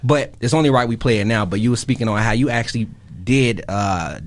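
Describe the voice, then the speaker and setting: Man, medium pitch, radio host, slangy, hyped, repeats words starting phrases. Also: fairly quick. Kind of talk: casual interview chat.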